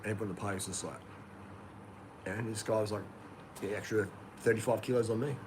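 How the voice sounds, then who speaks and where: A man's voice: lowish voice, fairly even intonation, quick pace. A man in casual chat, telling a laid-back, boastful story and dropping "man" now and then. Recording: noisy line with clear background hiss.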